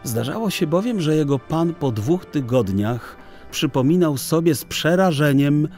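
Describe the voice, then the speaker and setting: A man narrating a children's story in an expressive, theatrical, deliberate literary manner. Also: a little high, faint music behind. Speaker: audiobook narrator.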